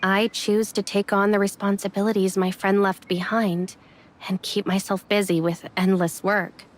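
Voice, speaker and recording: A woman playing a caring but reserved young woman in an anime game, speaking gently and reassuringly. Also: medium pitch, flat delivery, moderate pace, natural voice. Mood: gentle, reflective.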